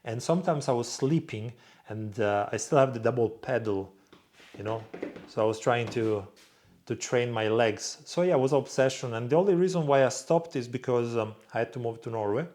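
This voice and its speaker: A young man from Croatia speaks in a relaxed vlog Q&A. He answers fans off the cuff, bluntly, and swears freely. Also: mid-range voice, moderate pace. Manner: blunt, swears freely, reads viewer questions aloud in a louder voice. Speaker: vlog host answering viewer questions. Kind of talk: casual Q&A monologue.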